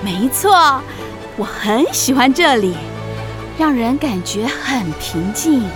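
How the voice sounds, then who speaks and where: A woman voicing a lively, kindly witch aunt in an expressive children's audio drama style. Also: high voice, takes it slowly, over music. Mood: warm, friendly. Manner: expressive, animated.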